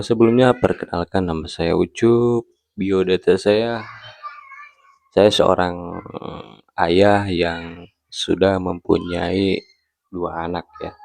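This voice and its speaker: A man in his early thirties talking casually to camera as a vlogger, in a halting way with frequent tag words at the ends of phrases. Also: low-pitched, steady tone, vocal fry, a little mellow. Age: early 30s.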